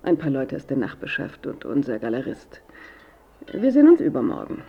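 An actress playing a controlling, businesslike middle-aged daughter guarding her father in a radio drama, brisk and formal. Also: rumbling low, wildly swooping pitch, gravelly, slightly rough, lo-fi sound, heavily acted.

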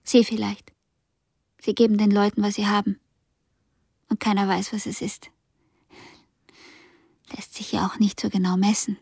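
An actress playing a cool, wry young concert pianist in a period radio drama, soft-spoken and guarded, with a breathy voice. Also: female voice, mid-range voice, flat delivery, normal speed, pauses often, no room sound, studio quality. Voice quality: breathy, husky with creak. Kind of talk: German radio drama acting.

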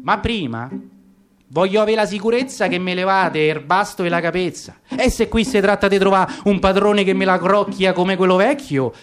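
A man's voice: high-pitched, normal speed, clear, resonant tone, voice pushed up, close to an everyday voice. A man voicing an overworked, aggrieved male donkey with a Roman accent. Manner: aggrieved, complaining. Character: fed-up overworked male donkey, bitter laborer. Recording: guitar music behind.

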